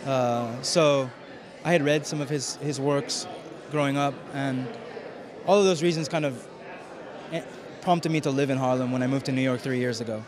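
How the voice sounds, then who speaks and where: Male filmmaker, mid-pitched, halting interviewee searching for words, lively crowd behind him. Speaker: film director and writer. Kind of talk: red carpet interview. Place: film festival red carpet. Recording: busy crowd and urban noise behind. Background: crowd chatter.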